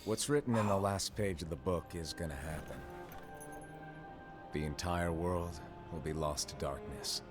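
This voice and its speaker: A man playing a solemn, enigmatic master in a fantasy video game, speaking in a measured, grave, instructing way. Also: sits low, music in the background. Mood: grave, ominous. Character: solemn, enigmatic male master of keyblade wielders. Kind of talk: scripted fantasy game cutscene acting.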